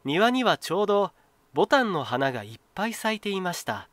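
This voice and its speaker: A man reading a children's folktale as a storyteller, in an expressive, clearly phrased scripted style. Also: high-pitched, fairly quick, no echo.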